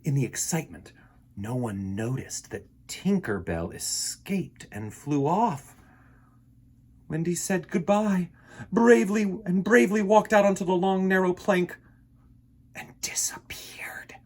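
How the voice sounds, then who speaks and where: Animated, slow-paced male children's storyteller. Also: high-pitched. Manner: theatrical, expressive, with dramatic pauses.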